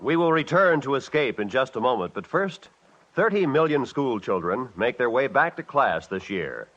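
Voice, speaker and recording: A man's voice: mid-range voice, sing-song, normal speed, fairly bright tone, highs cut off, soft background music. A man announcing on 1950s radio, reading a scripted public service message in formal broadcast style.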